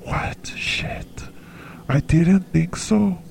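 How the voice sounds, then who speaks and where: A man voicing a flustered young woman with a breathy, startled manner. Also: high-pitched, extremely slow, soft background music, heavily acted.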